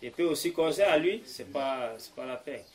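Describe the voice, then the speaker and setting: Hesitant Cameroonian man, halting welcome. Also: a little high, lively intonation, a little mellow. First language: English. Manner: hesitant, many fillers, mixes French and English. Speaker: host of the gathering. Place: room in a private home.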